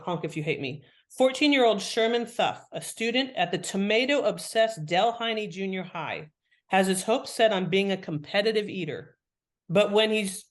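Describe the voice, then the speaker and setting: A woman talking as an author and interview guest, explaining writing craft in an animated, enthusiastic way. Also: lowish voice.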